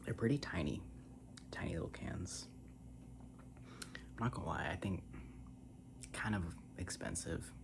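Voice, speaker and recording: An American ASMR creator speaks very softly in a breathy, whispered voice with a gravelly fry. The delivery is unhurried and rambling, casual and a little self-deprecating, recorded close to the mic. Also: low voice, expressive. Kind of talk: soft-spoken ASMR rambling. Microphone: close ASMR microphone. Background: tapping and scratching on cans.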